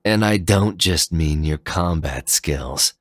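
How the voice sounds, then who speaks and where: A man's voice: deep voice, slow, no room sound. A stern robot hero with a sword, voiced by a male narrator, curt and full of scorn. He grumbles his lines in a dry, close recording for an action story. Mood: scornful.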